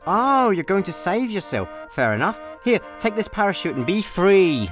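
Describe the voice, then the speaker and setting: A man with a Scottish accent acting a laid-back, deadpan space layabout in a comic radio play. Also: high voice, normal speed, faint music behind. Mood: calm resignation. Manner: deadpan, hesitant understatement. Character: laid-back, careless male space layabout, drily unbothered.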